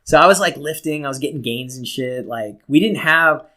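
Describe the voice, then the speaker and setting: A man's voice: a little high, normal speed, pushed and bright. Casual, rambling man telling stories. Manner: informal, rambling, mildly profane. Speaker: talk show co-host telling personal stories. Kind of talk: casual chat, anecdotes.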